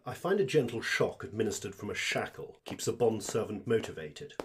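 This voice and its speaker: An obsequious alien shopkeeper played by a man in a radio play, a fungus speaking through its host. The voice is husky and swings eagerly up and down, wrapped in servile, elaborate courtesy. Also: on the low side, expressive, brisk. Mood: oily, smooth. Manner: fawning, formal, over-polite servile patter. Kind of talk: audio drama acting.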